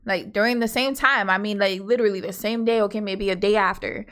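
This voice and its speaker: A woman's voice: mid-range voice, fairly even intonation, a little fast, fairly bright tone. A woman from Hawaii co-hosting a casual podcast with her husband, rambling through her points with lots of asides and fillers. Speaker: podcast co-host. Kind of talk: casual opinionated chat.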